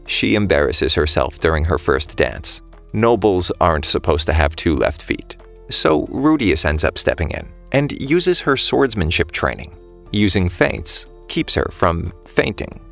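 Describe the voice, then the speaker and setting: A man narrates a scripted story recap in a notably deep voice. There is a creaky, gravelly grain to his delivery, and soft music plays faintly behind him.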